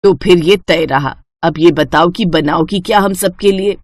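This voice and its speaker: A woman voicing a measured older mother-in-law, dry sound. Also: lowish voice, dry recording. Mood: instructing, pleased. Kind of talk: acted Hindi family storybook dialogue.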